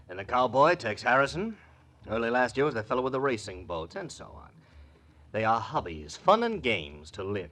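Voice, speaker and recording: An actor playing an idle, jaded society drinker in a 1940s radio drama, affected, languid and dryly witty. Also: male voice, medium pitch, a bit bright. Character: idle, jaded, martini-drinking society gentleman, lovelorn hanger-on.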